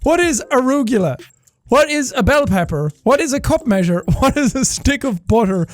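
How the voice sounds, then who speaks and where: A man reading online posts aloud and reacting with energetic, sweary, mock-outraged rants, laughing often. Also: very high voice, normal speed, dry recording. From Ireland. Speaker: YouTuber.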